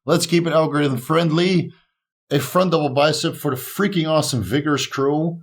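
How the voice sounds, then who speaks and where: A man's voice: a little high, steady tone, normal speed. A man from the Netherlands with a Dutch accent and a slight speech impediment, talking casually and upbeat to his viewers as a YouTube host. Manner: upbeat, encouraging. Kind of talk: casual talk to camera.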